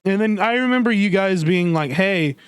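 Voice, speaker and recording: A man's voice: high voice, animated, normal speed, clear, resonant tone, no room sound. A man hosting a casual podcast chat, sick, his voice starting to scratch, laid-back and swearing freely. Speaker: podcast host.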